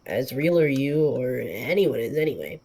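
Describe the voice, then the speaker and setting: A man voicing a calm, wise male snake that talks slowly and matter-of-factly. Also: mid-range voice, gravelly, breathy edge, exaggerated voice. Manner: slow, measured, matter-of-fact.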